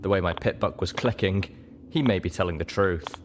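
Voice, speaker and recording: A man's voice: low voice, fairly quick, a little hiss or hum. A man reading scripted story narration as an audiobook reader.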